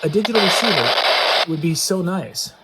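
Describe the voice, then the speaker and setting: A man's voice: high voice, animated, moderate pace, very noisy. Folksy man casually reviewing gear. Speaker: product reviewer, host of an outdoor gear channel. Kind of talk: casual unscripted product demo. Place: inside a metal building.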